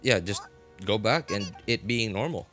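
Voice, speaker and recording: A man hosting a game livestream, chatting casually in a halting, rambling way and often repeating and rephrasing himself. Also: fairly low pitch, expressive. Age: adult, college graduate. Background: music.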